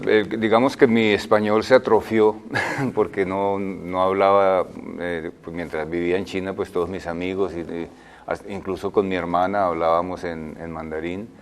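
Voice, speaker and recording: An older man, a film director answering interview questions in a thoughtful, halting way. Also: low voice, a little hiss or hum. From Colombia. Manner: thoughtful, halting, many fillers and restarts.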